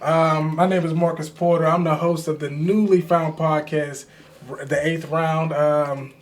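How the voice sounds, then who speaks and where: A man from the Pittsburgh area hosts a casual podcast chat. He talks in a loose, rambling way with plenty of fillers and easy laughter. His voice sits a little high for a man. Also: little pitch movement, unhurried. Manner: laid-back, filler-heavy, laughs often. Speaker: podcast host.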